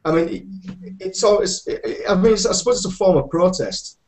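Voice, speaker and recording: A man's voice: sits high, animated, moderate pace, a little mellow, rough recording. A man on a video call arguing earnestly, restarting sentences to clarify his point. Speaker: hangout participant. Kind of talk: casual debate.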